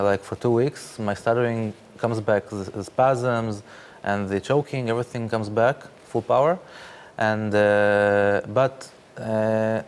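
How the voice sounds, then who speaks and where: Halting low-voiced man. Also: slight background noise. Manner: halting, many fillers and repeated words. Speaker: interview guest, startup founder. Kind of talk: studio interview.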